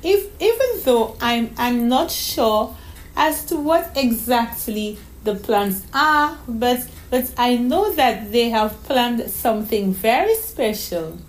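A sweet, shy little girl, as a woman puts her on, her voice lifted well above the narrator's own and swinging up and down as she stumbles over her words. She talks slowly and hesitantly, repeating herself. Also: fairly high pitch, lively intonation, pitched above the performer's own voice, poor audio. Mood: eager, hesitant.

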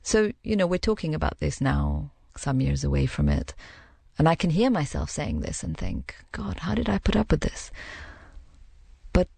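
Low, gravelly-voiced woman recounting calmly. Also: no echo. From Middle East. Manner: reflective and measured, pausing to find words. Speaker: interviewee. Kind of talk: personal account in an interview.

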